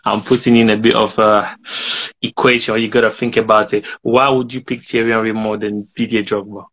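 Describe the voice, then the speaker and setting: A man's voice: lowish voice, level tone, a little fast, fairly bright tone. A man hosting a casual interview show, upbeat, switching between English and French. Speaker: bilingual host. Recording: dull lo-fi sound.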